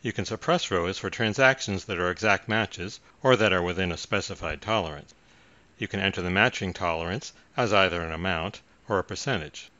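Casting: male tutorial narrator, low-pitched, measured delivery, very dry and slightly muffled recording. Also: pushed and bright, dry recording. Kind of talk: scripted software tutorial narration.

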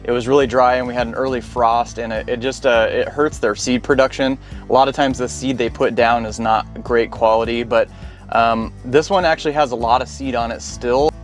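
A man's voice: medium pitch, steady tone, brisk, soft background music. An American man in his thirties talking casually and explaining things as a vlogger, on a clip-on mic. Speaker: foraging vlogger. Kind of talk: casual explanatory vlog talk. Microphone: clip-on wireless lapel mic.

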